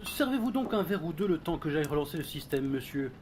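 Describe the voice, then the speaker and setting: An actor playing a fussy, devoted butler in an audio drama, speaking in a formal, wordy, deferential way. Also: male voice, fairly high pitch, big pitch swings, quick pace, darkish tone, slight background noise. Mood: placating.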